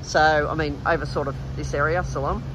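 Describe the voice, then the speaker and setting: A man's voice: a little high, steady tone, a little fast, background noise. A man with an Australian accent giving casual, chatty, rambling narration as a travel vlogger walking outdoors. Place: outdoors in an urban riverside park. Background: background music, outdoor city sounds.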